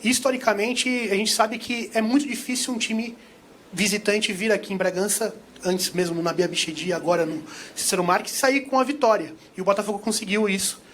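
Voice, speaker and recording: A male reporter puts a long, wandering question to a coach at a press conference. He talks quickly in a high voice. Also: a bit bright, slight background noise. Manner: long-winded question with fillers. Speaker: sports reporter.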